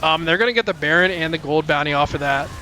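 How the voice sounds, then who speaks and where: A young man casting a live college esports match, calling plays quickly, with many fillers and sudden jumps in pitch at big plays. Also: sharp tone, slight background noise. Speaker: esports caster. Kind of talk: live play-by-play commentary. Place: college esports broadcast booth.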